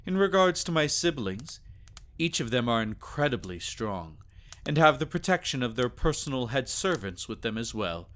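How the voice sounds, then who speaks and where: A man playing a devoted, protective vampire nobleman in a scripted audio drama, speaking formally and gravely. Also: medium pitch, very animated, a bit bright. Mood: grave, worried. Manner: solemn, formal, deliberate.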